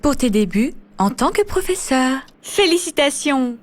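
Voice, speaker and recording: A woman's voice: high-pitched, very animated, unhurried. A woman voicing an affectionate, teasing young woman in a video game. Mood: tender. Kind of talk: video game cutscene voice acting.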